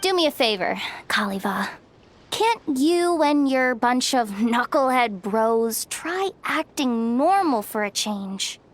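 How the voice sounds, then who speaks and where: A woman's voice: fairly high pitch, animated, slow pace. An actress playing a breezy, self-assured, streetwise young woman in a video game, talking in a casual, teasing, slangy way. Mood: cocky, unbothered. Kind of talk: video game character acting.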